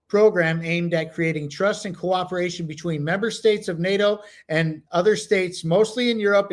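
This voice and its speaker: A man co-hosting a podcast, chatting casually with many fillers and reading from notes. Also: sits high. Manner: rambling, many "you know" fillers. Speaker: podcast co-host. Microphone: home computer mic, no camera.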